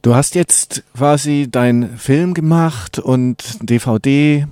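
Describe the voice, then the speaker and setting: A man's voice: mid-range voice, slow pace, dark tone, dry recording. A male interviewer asking questions casually, often restarting and rephrasing them midway.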